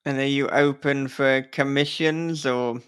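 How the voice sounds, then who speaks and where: A man's voice: medium pitch, fairly even intonation, unhurried, smooth. A man hosting a casual podcast interview in a jokey, rambling way, often chuckling through his phrases. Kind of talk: casual, joking interview chat.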